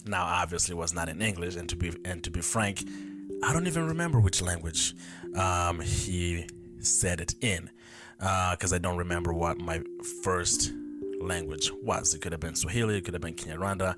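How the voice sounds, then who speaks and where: A young man in his twenties with a deep, low voice hosts his own podcast, recalling early memories in a loose, rambling way. He often stops to correct himself and thinks out loud. Guitar music plays clearly behind him. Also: moderate pace. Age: 20s. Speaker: podcast host. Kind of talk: casual solo storytelling. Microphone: camera mic.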